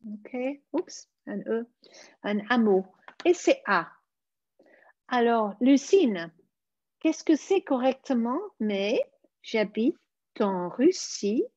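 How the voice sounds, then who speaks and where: Slow, husky woman teaching French. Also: fairly high pitch, very animated, a little mellow, no echo. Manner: slow, deliberate teaching with careful examples. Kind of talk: live online language lesson. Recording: dull, dry lo-fi stream audio.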